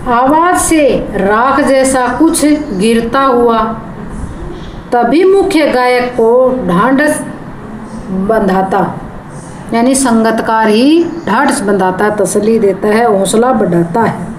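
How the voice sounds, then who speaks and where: A woman's voice: a little high, slow pace. A woman teacher explaining a poem line by line, stopping to rephrase and gloss words. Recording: echoey room with background noise.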